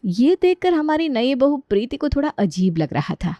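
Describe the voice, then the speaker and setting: A woman's voice: high voice, very animated, normal speed, dark tone, no echo. A woman reading a scripted story as narrator.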